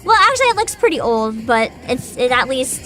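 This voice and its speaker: A woman's voice: sits high, big pitch swings, a little fast, fairly bright tone, faint music behind. A young woman streaming as a VTuber, chatting casually with her viewers, giggling often and reading game descriptions aloud. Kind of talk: casual livestream chat.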